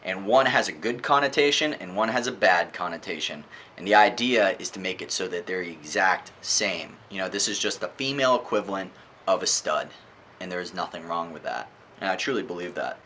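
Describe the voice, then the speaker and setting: Male vlogger, fairly low pitch, bright forceful edge; emphatic, conversational opinion delivery over faint background noise. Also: lively intonation, fairly quick. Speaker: book reviewer, vlogger. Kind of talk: informal opinionated book review monologue.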